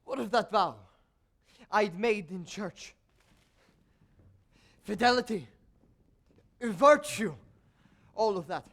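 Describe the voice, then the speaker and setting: An actor in a stage play voicing a scheming, lecherous older court composer with formal, courtly, insinuating phrasing. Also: male voice, high-pitched, takes it slowly, pauses often, fairly bright tone, heavily acted. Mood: agitated. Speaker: stage actor in a school one-act play. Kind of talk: theatrical stage period drama acting.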